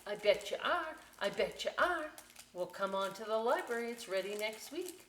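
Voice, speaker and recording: A woman reading a picture book aloud as a story time host, expressive, with chatty questioning asides to young listeners. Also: on the high side, moderate pace, distant mic, slight background noise. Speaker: library story time reader. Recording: echoey room, away from the mic.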